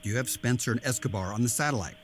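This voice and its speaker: A man's voice: mid-range voice, rapid-fire, slight background noise, natural voice. A man playing a stern military admiral, speaking with clipped authority in a dramatic scripted scene. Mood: commanding. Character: stern, commanding male admiral running covert operations.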